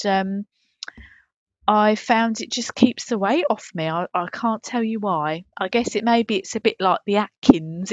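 A woman with a mid-pitched voice co-hosts a casual comic podcast. She rambles along hesitantly and matter-of-factly. The recording is close and dry, with a slightly dull tone. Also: no room sound. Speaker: podcast co-host.

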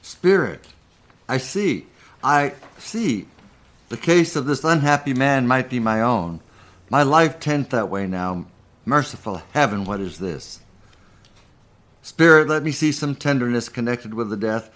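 A man's voice: medium pitch, animated, measured pace, fairly bright tone. A man playing a fearful, repentant old miser in a live dramatic reading. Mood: fearful, earnest. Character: frightened, repentant old miser pleading with a spirit.

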